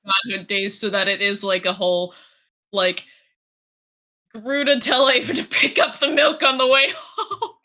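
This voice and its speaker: A woman's voice: medium pitch, steady tone, moderate pace, bright, forceful tone. A woman who plays in a tabletop campaign, chatting casually with her group.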